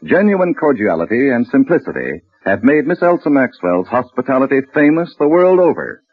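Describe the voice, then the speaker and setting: A man's voice: medium pitch, big pitch swings, normal speed, breathy edge. A man announcing a sponsor's message on 1940s radio with a polished sales delivery, on a tinny old recording. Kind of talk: 1940s radio advertising read.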